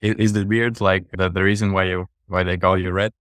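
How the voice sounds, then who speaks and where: Male podcast guest, Latvian accent, flat intonation, halting casual talk, dry close sound. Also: low-pitched, no room sound. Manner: halting, repeats short phrases. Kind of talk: casual chat.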